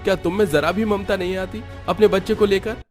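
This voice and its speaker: Man playing reproachful young husband, cartoon drama, raised pitch, emphatic scolding, faint music. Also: steady tone, a little fast, a little mellow, close to an everyday voice.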